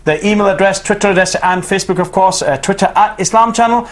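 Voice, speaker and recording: A man's voice: high voice, quick pace. A man presenting a studio show, reading out contact details and welcoming a guest in an upbeat way.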